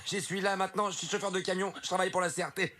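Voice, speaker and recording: An actor playing a panicked truck driver trapped and pleading urgently for help in a film drama. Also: male voice, high-pitched, fairly even intonation, very fast, bright, forceful tone.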